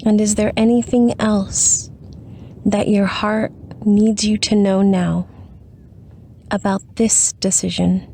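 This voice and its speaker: Woman, meditation guide, very slow pace, mellow tone, soothing, long pauses, light background noise. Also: medium pitch, little pitch movement.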